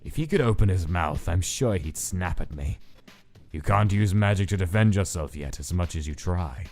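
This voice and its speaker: A man playing a cold, deep-voiced scientist. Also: expressive, a little mellow, faint music behind. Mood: watchful. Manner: clinical, detached and measured. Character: cold calculating male scientist studying his subjects. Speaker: narrator of a comic dub. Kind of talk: inner-monologue narration.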